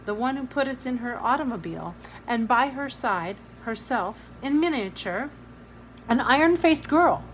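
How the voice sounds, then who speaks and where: A woman narrating a novel aloud in an expressive storybook reading style. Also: a little high, slight background noise, low-quality recording.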